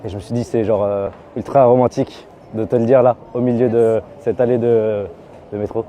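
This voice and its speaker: Man, flirty, slangy, teasing, very dark voice, metro passageway, faint noise. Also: mid-range voice, steady tone, a little fast. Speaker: man approaching a stranger. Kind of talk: casual street chat.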